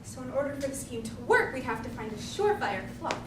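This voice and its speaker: A woman's voice: high voice, sing-song, fast. A woman playing a scheming, flamboyant male theatre producer in an amateur stage comedy, grandiose, bullying and cajoling. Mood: scheming, elated. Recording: distant echoey room recording with clear noise.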